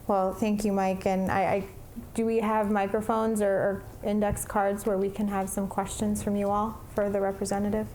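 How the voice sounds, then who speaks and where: A woman moderates a forum panel, thanking speakers and stumbling over her words as she restarts them. Her pitch stays flat and even, with a faint hum behind her. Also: normal speed, rough recording.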